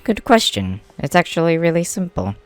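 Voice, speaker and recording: A man's voice: on the high side, big pitch swings, normal speed, no echo. A man playing a stubborn, polite, workaholic clan leader in an amateur comic dub, talking in an easygoing, emphatic way. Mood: explaining, proud. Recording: music behind the voice.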